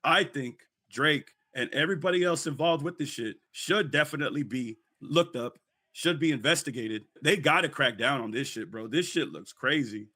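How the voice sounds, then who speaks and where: Hoarse man with a bright, forceful voice. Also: medium pitch, expressive, normal speed. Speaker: video commentator. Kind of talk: casual opinion commentary with slang.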